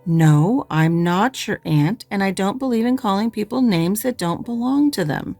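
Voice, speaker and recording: A woman's voice: mid-range voice, animated, normal speed, a little mellow, no room sound, faint music behind, close to an everyday voice. A woman voicing a stern, dry, unbending middle-aged spinster, curt and disapproving, in an audiobook reading. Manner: curt, disapproving and matter-of-fact.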